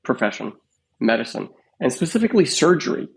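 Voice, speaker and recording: A man in his fifties speaks at an ordinary middle pitch with a gravelly, fry-edged voice. He tells his story slowly and thoughtfully, stopping often with "uh" as he remembers. It is a relaxed interview tone. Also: poor audio. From Canada. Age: 50s. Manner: unhurried, reflective, many "uh" pauses. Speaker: podcast guest, radiologist.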